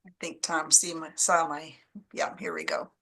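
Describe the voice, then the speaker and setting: A woman's voice: sits low, big pitch swings, normal speed, pushed and bright. A woman hosting an online forum, chatting with her guest and reading out questions over a video call. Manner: friendly, chatty, reads out questions. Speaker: forum host. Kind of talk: interviewer asking questions.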